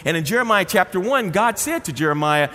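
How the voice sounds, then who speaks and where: A male pastor giving a sermon in a folksy, explanatory way, dropping to quiet asides and rising to emphatic points. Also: high voice, animated, fairly quick. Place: church sanctuary. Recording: reverberant church hall.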